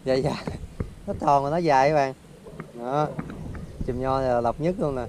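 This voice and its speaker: A man's voice: medium pitch, normal speed, slight background noise. A young man with a Southern Vietnamese accent, giving casual fishing commentary by a lake and calling out instructions to a friend. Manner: excited, shouting instructions to a friend. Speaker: fishing vlogger. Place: outdoors by a forest lake.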